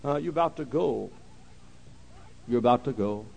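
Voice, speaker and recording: A man preaching a sermon, repeating phrases and swinging from quiet, slow talk to loud shouting. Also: medium pitch, lively intonation, vocal fry, husky.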